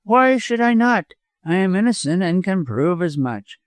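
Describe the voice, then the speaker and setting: Guy doing a sneering weaselly lawyer for an audiobook, snarly and harsh, voice pushed way up high, dry sound. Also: very animated, measured pace, no echo. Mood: smug, defiant. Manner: snarling, gasping, harsh, chuckling. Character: venomous, cowardly, sneering male lawyer villain.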